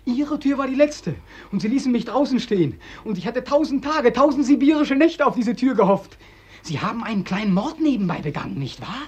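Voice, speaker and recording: Husky, haunted young soldier, wildly swinging pitch. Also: male voice, expressive, a little fast, darkish tone, exaggerated voice. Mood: bitterly accusing. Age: young adult. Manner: mockingly deferential, accusing, anguished. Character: bitter, haunted young drowned soldier, war returnee. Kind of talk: mid-century German radio drama acting. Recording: dull, band-limited old radio recording.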